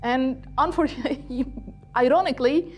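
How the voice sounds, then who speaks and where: High-pitched woman with an Egyptian accent. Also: normal speed, a little mellow, soft background music. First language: Arabic. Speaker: political activist and guest speaker. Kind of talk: public talk on politics, speaking off the cuff.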